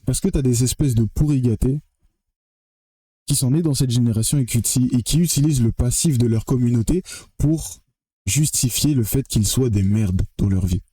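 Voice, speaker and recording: A man's voice: mid-range voice, moderate pace, warm tone, slightly rough, dry recording. A young man from French Guiana giving a blunt, emphatic opinion monologue to the camera, swearing freely and often repeating or restarting his phrases. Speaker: vlogger.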